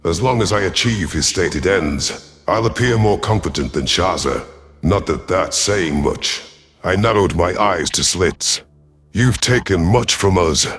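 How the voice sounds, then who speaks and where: A very low, rough-edged voice from a man acting as an alien warlord in a sci-fi audio drama. He is cold and calculating, speaking with imperious, deliberate menace. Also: a bit bright. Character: cold, calculating alien reptilian predator commander, male. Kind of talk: dramatic first-person sci-fi audio story.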